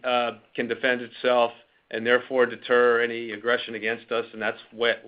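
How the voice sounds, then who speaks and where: A man's voice: mid-range voice, clear, resonant tone. Man on dull conference-call audio. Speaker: company executive. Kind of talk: closing remarks on an earnings conference call.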